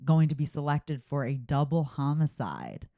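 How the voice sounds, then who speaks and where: A woman's voice: low voice, very animated, normal speed, dark tone, no echo, clean studio sound. A woman hosting a casual podcast, chatting in a playful, slangy and dramatic way. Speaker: podcast host.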